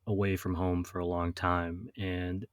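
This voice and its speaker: A man's voice: low-pitched, level tone, measured pace, clean tone, dry recording, studio quality. A male podcast host from Wisconsin, reflecting on his own experience before asking his guest a question. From Wisconsin, USA. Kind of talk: interview questions.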